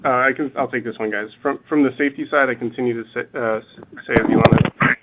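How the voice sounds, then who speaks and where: A man's voice: mid-range voice, fairly even intonation, fast, a little hiss or hum. A man speaking as an engineering executive, answering unscripted on a company earnings call. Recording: narrow, radio-like phone conference line.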